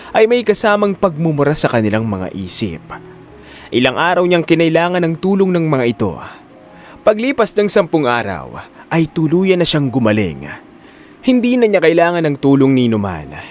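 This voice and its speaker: A man's voice: fairly high pitch, big pitch swings, moderate pace, soft background music. A man narrating a scripted audio drama in a dramatic storytelling style.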